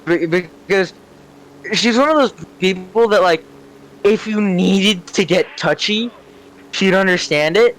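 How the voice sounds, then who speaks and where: A young man with a fairly high-pitched voice tells stories in a casual chat. He rambles, hunts for the right word and swears freely. A faint vehicle-like noise runs behind him. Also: measured pace, fairly bright tone, poor audio. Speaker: storyteller on a gaming channel. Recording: clear vehicle-like background noise.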